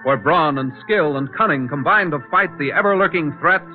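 Old-time radio announcer guy, dramatic and portentous, slightly high voice, tinny sound. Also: normal speed. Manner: portentous, declamatory. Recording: narrow, tinny 1940s radio recording. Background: dramatic music.

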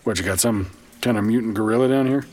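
A man's voice: on the low side, fast talker, a little mellow, natural voice. An actor playing a defiant, alarmed male doctor in an audio drama, incredulous and sarcastic in his delivery. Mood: wary sarcasm.

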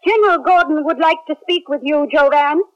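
A woman's voice: sits high, fairly quick, close to an everyday voice. An actress playing a female major in the space program in a 1970s radio drama. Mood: businesslike. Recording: muffled, band-limited vintage radio recording.

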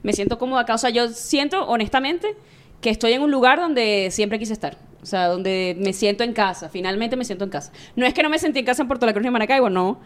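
A Venezuelan woman in her late thirties, a sports journalist telling stories candidly in a relaxed interview. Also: on the high side. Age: 30s. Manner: chatty and candid, rapid storytelling. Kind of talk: casual interview chat.

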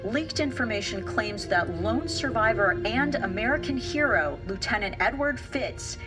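A woman playing a brisk, serious news reporter in audio fiction, with formal, emphatic reading. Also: fairly high pitch, expressive, recorded from a distance, over music.

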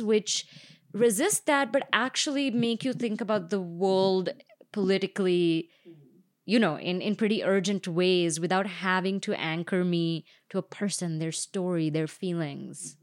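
A woman podcast host with an average-pitched voice. She talks in a conversational interviewer's style, addressing her guests directly.

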